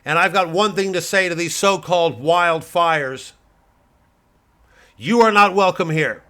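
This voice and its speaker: A man playing an indignant, self-important American right-wing podcast pundit, bombastic and repetitive, with dramatic pauses. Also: high voice, sharp tone. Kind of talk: satirical political podcast monologue.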